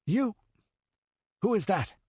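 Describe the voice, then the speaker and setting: A man voicing a proud exiled count who shouts violently and wildly. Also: high-pitched, wildly swooping pitch, a little slow, breathy edge, no room sound, studio quality, pitched above the performer's own voice, full character voice. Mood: furious. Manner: shouting, wild.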